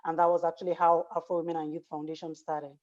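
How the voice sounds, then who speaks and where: A woman founder tells her story earnestly in a lowish voice, pausing often on filler words. The audio is dull and lo-fi, as over a video call. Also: little pitch movement, a little mellow. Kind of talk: personal story in an interview.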